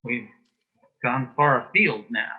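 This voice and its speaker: A man being interviewed as a guest in a casual chat, answering tersely in a dry, deadpan manner. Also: medium pitch, slow, phone-quality sound, a little hiss or hum. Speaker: interview guest, author and actor.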